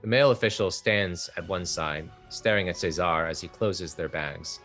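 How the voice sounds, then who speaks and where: Deep-voiced man reading stage directions. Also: a bit bright, soft background music. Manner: measured, descriptive. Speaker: narrator.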